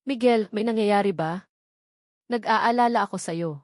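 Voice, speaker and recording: A woman's voice: mid-range voice, moderate pace, dry recording, studio quality, natural voice. Concerned Filipina lawyer friend played by a woman. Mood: worried, caring. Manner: worried, gentle.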